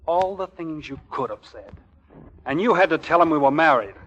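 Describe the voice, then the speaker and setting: An actor playing a put-upon, exasperated young army lieutenant in a 1940s radio comedy, on a muffled old broadcast. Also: male voice, fairly high pitch, expressive, normal speed, fairly bright tone, faint music behind. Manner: stammering, put-upon, grumbling. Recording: dull, band-limited 1940s radio broadcast.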